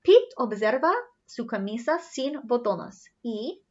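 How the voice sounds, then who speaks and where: Woman, unhurried pace, expressive singsong read-aloud for small kids, teacherly, dull lo-fi sound. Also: a little high. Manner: animated, teacherly, spelling things out for young children. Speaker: read-aloud storyteller for children. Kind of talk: bilingual picture-book read-aloud with singsong refrains.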